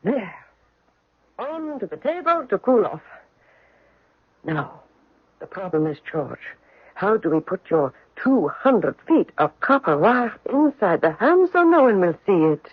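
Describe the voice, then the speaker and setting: An actress playing a devoted, resourceful general's wife in an old-time radio drama, with an earnest, measured manner. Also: female voice, medium pitch, very animated, slightly rough. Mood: practical, busy. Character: devoted, resourceful French general's wife, anxious but practical. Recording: tinny, band-limited old radio broadcast, music.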